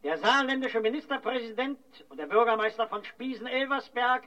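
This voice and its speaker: A man's voice: very high-pitched, a little fast, bright, forceful tone, distant mic, dull audio. A man playing a formal ground-station radio operator with a dry, ironic delivery.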